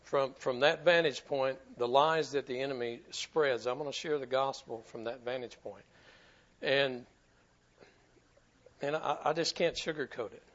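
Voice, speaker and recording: Folksy pastor, dull recording. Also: male voice, mid-range voice, measured pace, fairly bright tone. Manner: folksy, repeats himself, stumbles over words. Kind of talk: sermon.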